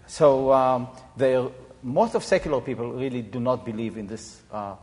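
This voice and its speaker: A man in his seventies from Israel, explaining informally to an audience in a halting, reflective way. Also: mid-range voice, darkish tone. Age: 70s. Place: large echoey room or hall. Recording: reverberant hall sound.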